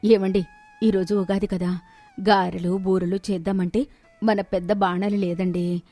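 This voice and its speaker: A woman acting a mellow, practical village mother. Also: mid-range voice, level tone, measured pace, soft background music, pitched below the performer's own voice, lightly acted. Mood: concerned.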